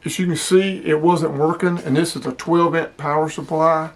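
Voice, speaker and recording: A man's voice: fairly high pitch, steady tone, moderate pace, poor audio. A man casually demonstrating gear as a hobby vlogger, explaining things in a plainspoken way.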